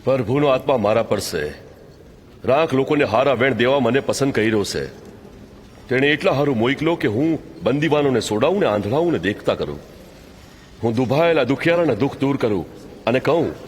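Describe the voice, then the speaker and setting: A man with a Kathiawadi Gujarati accent playing a calm, authoritative religious teacher in a scripture audio drama, speaking in measured declarations. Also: medium pitch, a little hiss or hum, close to an everyday voice. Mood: solemn, proclaiming. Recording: music under the voice.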